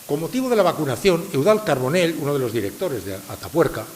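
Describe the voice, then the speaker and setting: A man's voice: on the high side, big pitch swings, quick pace, a little mellow. An older man from San Sebastián, Spain, giving a public lecture to a live audience, asking rhetorical questions and stressing his points. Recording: reverberant hall with faint hiss.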